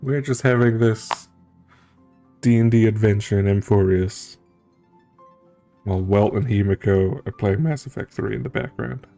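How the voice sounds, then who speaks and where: A man's voice: on the low side, moderate pace, vocal fry, long pauses, dark tone, soft background music. A male streamer giving casual gameplay commentary, musing wryly and sometimes reading aloud. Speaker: streamer playing and reacting to a story game.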